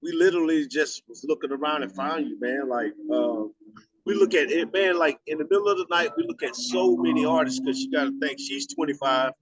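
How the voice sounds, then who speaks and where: A man's voice: fairly high pitch, fairly quick, poor audio. A man in his forties hosting a radio show, chatting loosely, joking and swearing freely. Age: 40s. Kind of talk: casual interview chat.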